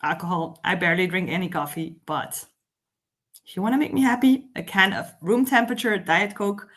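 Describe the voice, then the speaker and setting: A woman's voice: fairly low pitch, brisk, fairly bright tone. A woman from Belgium with a Belgian French accent, chatting casually as co-host of a live stream, with lots of "um" and "like". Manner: chatty, laughs often, fillers like "um" and "like". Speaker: summit host.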